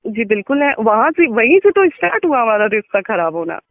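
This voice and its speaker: A woman's voice: fairly high pitch, very animated, a little fast, no room sound. A woman in a candid personal phone conversation, explaining and justifying herself insistently, often checking that the listener follows. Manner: insistent, repeatedly checking with "okay?". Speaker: interviewee on a phone call. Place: telephone call. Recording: tinny phone line.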